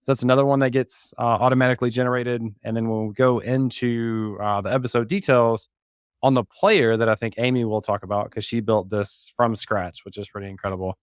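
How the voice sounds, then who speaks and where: A man's voice: medium pitch, fairly even intonation, brisk, clean tone, no echo, clean studio sound. A man, a podcast co-host, casually talking through a walkthrough in a conversational way. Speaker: podcast co-host, guest on the show.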